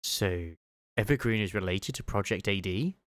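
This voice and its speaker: Man reading as a low, serious game commander. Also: normal speed, fairly bright tone, dry recording, studio quality, close to an everyday voice. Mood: grave, curious. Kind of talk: read-aloud video game dialogue.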